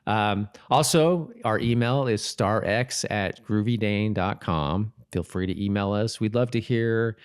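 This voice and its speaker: A man co-hosting a podcast in casual chat, speaking haltingly and often repeating and rephrasing himself. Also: lowish voice, clean tone. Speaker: podcast co-host. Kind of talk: casual chat about a TV episode.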